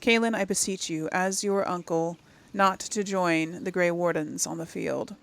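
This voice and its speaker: The woman you would hear on a role-playing game channel, thinking aloud and reading to viewers in a creaky voice. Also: on the low side, a little slow. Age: 30s or older. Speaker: game streamer, role-play series host.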